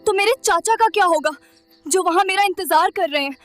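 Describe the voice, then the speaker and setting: A woman's voice: very high voice, brisk. A woman playing a determined but frightened teenage girl in an earnest, emotional dramatised moral story. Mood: anxious, determined. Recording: voice under clear background music.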